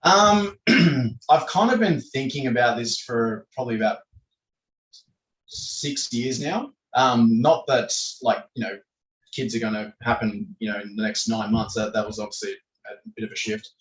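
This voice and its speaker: A man in his thirties, a podcast guest with a croaky voice who rambles with many fillers and restarts. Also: medium pitch, moderate pace, fairly bright tone. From Australia. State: croaky voice, clearing throat. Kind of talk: casual interview answers. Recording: echoey room, distant from the mic.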